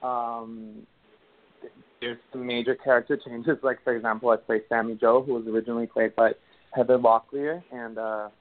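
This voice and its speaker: Venezuelan actor in his 30s, hesitant phone interview guest, mid-pitched, tinny line. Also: flat delivery, normal speed. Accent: Venezuelan Spanish. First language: Spanish. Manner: hesitant, many fillers and restarts. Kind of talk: casual interview answers.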